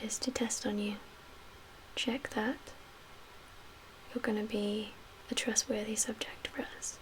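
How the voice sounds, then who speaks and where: A woman speaking in a hushed, breathy whisper with measured pauses, performing an ASMR roleplay as a calm, guarded survivor-camp medical official. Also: on the high side, low-quality recording. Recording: audible background hiss and ambience.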